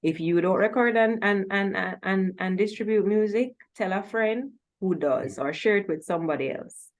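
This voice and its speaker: A woman's voice: mid-range voice, normal speed. A woman hosting a music show in a casual, conversational and encouraging interview style.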